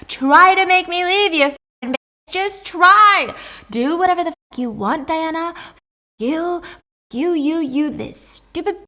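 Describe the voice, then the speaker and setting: A woman storyteller plays a money-hungry, hard-drinking mother in a dramatic tale. The voice is high and piercing, with an explosive, taunting, sweary delivery. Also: lively intonation, moderate pace, fairly bright tone. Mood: furious. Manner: foul-mouthed, taunting, explosive. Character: ranting, cruel, money-hungry alcoholic mother, volatile, mocking.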